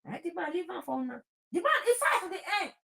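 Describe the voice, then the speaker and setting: A woman's voice: very high-pitched, very animated, fast talker, gravelly, pushed and bright. A woman with a Nigerian accent talking informally and emphatically about her opinions, repeating phrases and slipping into Pidgin. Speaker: talk show host. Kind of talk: impassioned informal political talk.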